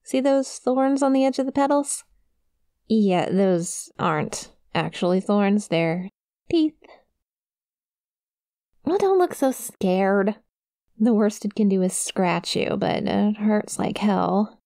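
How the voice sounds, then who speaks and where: A woman's voice: mid-range voice, lively intonation, slow, warm tone, no room sound, clean studio sound. A woman playing a friendly, awkward young succubus demon in an intimate ASMR roleplay, with a shy, casual, hesitant manner. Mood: matter-of-fact, amused. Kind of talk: ASMR roleplay, intimate one-sided conversation to the listener.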